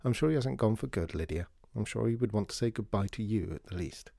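A man voicing a steady, practical, kind father in a plain, reassuring manner. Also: on the low side, quick pace, creaky, no echo, clean studio sound. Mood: calm, reassuring.

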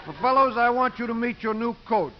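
An actor playing a mild, cheerful college priest in a 1950s radio drama, genial and gently understated. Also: male voice, very high-pitched, animated, a little fast. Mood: brightly encouraging. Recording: dull, noisy 1950s radio recording.

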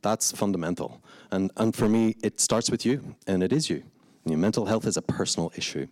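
A man's voice: lowish voice, brisk, darkish tone, no room sound, low-quality recording. A man with a Belfast accent, a meditation teacher speaking earnestly and passionately on a live panel, often restarting his phrases. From Northern Ireland. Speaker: meditation teacher and studio founder. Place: indoor event space before a live audience.

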